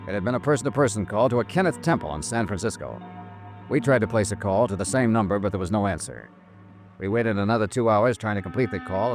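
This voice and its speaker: An actor playing a terse, businesslike American investigator who questions and narrates in a matter-of-fact 1950s radio drama style. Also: male voice, fairly low pitch. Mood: dry, narrating. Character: terse, businesslike male investigator narrating his missing-person case. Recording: old radio drama with music behind.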